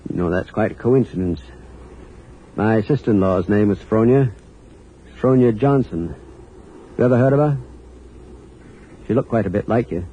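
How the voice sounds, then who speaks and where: An actor playing a folksy but sinister New England hotel keeper with a clipped drawl, in a 1940s radio thriller style. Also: male voice, on the low side, normal speed, long pauses, a little hiss or hum, close to an everyday voice. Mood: folksy, courting. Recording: muffled old radio recording.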